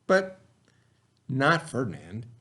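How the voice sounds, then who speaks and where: A man reading aloud and talking to children in simple, expressive phrasing, like a teacher. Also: medium pitch, slow pace. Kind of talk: children's story read-aloud, then informal talk to children.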